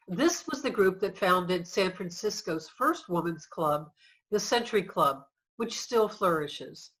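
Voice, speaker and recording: A woman's voice: medium pitch, a bit bright, low-quality recording. A woman reading scripted narration in a readers' theater production.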